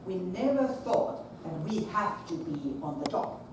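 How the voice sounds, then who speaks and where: A woman's voice: medium pitch, measured pace. Finnish woman projecting in an echoey hall. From Finland. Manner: projects her voice without a microphone, animated and chatty. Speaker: conference speaker, school principal. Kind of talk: presentation to an audience. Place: large lecture hall. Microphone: far camera. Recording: echoey lecture hall from far off, noisy.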